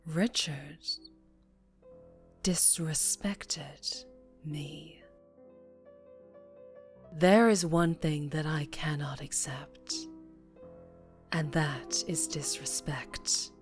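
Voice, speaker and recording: A woman playing a ruthless, teasing mafia boss in an ASMR roleplay, commanding and condescending, often dropping into breathy murmurs. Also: deep voice, drawn-out words, long pauses, soft background music. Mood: menacing, controlled anger.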